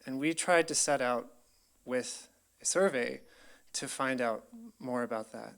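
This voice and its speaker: A man's voice: mid-range voice, a little slow, vocal fry, a bit bright. Earnest young American man presenting. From United States, Colorado. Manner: earnest, grateful, many ums. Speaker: PhD researcher presenting. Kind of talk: public presentation.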